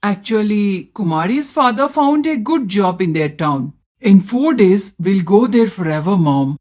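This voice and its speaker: A woman with an Indian accent playing a meek, henpecked adult son in an animated story, speaking plainly and dutifully. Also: mid-range voice, a little slow, close to an everyday voice. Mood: sheepish.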